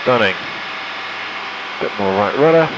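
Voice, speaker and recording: A man's voice: medium pitch, lively intonation, unhurried, lo-fi sound, heavy background noise. A man talking as a pilot, mixing easygoing, jokey casual chat with cockpit radio calls and commentary. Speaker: pilot and vlogger. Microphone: headset. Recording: engine drone, radio headset in small plane.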